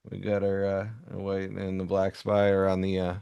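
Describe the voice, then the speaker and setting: Low gravelly man, halting casual talker. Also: monotone, warm tone. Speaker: co-host. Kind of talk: casual chat and commentary on comics.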